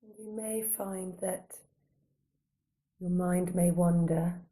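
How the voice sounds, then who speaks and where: A woman with a deeply mellow, slightly low voice leads a guided body scan. She speaks calmly and gently, without hurry. Her soothing instructions are spaced out with long pauses. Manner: calm, unhurried, gentle phrasing with long pauses. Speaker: meditation guide.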